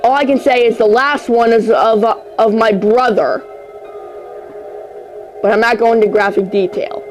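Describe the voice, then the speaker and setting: Amateur creepy-story reader, slow and halting, mid-range voice, frequent stumbles, prominent music underneath. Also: mid-range voice, steady tone, poor audio. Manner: halting, stumbles over words and repeats phrases. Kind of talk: amateur horror story reading.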